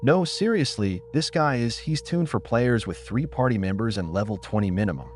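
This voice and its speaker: A man's voice: medium pitch, lively intonation, moderate pace, a little hiss or hum, close to an everyday voice. A man voicing an alarmed male guide avatar in a sci-fi game audiobook, urgent and cautioning. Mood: urgent warning. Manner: urgent, warning.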